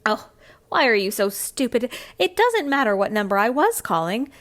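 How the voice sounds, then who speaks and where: A woman's voice: on the high side, big pitch swings, normal speed, a bit bright. A woman acting a frantic, demanding caller on the telephone in an old-style radio thriller, stammering and indignant. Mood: exasperated, demanding. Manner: breathless stammering, indignant, exasperated sighs.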